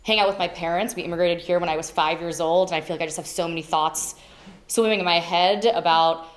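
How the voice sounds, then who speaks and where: A woman who chairs a nonprofit board, speaking loudly and chattily to an event audience. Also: fairly low pitch, steady tone, quick pace. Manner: loud, chatty, grateful. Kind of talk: informal speech to an audience. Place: indoor event space with audience.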